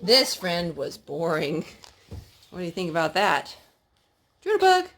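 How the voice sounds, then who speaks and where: A woman's voice: fairly low pitch, wildly swooping pitch, unhurried, fairly bright tone. A woman doing a storytime for young children, speaking and singing expressively as a mother does to small kids. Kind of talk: sing-song children's storytime with read-aloud and songs.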